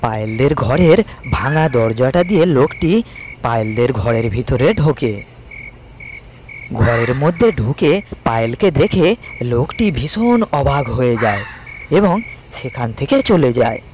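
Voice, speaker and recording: A man's voice: mid-range voice, expressive, measured pace, long pauses, darkish tone, a little hiss or hum. A man narrating a scripted moral story for a cartoon.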